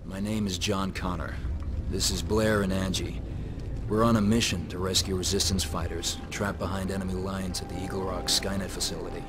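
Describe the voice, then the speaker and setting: An actor playing a steadfast resistance soldier in a video game, speaking in an earnest, terse, resolute way. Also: male voice, deep voice, flat delivery, normal speed, natural voice. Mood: businesslike. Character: steadfast, determined male resistance soldier leading a mission. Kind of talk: dramatic video game acting.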